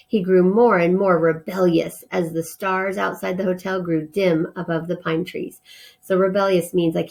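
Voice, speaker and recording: A woman's voice: fairly low pitch, creaky. Woman reading a children's story aloud. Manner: informal, explains words simply.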